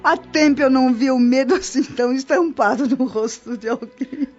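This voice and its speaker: A woman's voice: high voice, normal speed, darkish tone. A Brazilian woman acting a kindly, knowing old nurse of the spirit world in a radio drama, reassuring and explanatory. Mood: amused. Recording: muffled audio with music behind. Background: background music.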